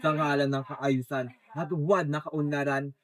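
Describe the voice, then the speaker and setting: A man's voice: fairly high pitch, flat delivery, moderate pace, close to an everyday voice. Greedy tyrant crocodile, declaimed by a man. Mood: gloating. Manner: declamatory, boastful. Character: greedy tyrant crocodile ruling over farmers' harvest. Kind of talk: reader's theatre verse recital.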